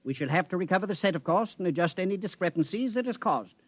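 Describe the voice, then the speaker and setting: A high-pitched, officious male bureaucrat from the future, fussy and pedantic in his formality. The sound is dry 1950s radio drama. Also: expressive, fast, no echo. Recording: 1950s radio broadcast recording.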